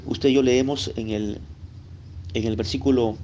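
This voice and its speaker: A Peruvian man from Lima, with a Lima accent and a voice of ordinary middle pitch, gives a recorded Bible lesson. He teaches in a chatty way, speaking straight to the listener and checking in with little tag questions. A faint hiss of noise sits under his voice. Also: steady tone, unhurried, mellow, muffled recording. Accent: Peruvian Spanish, Lima. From Peru.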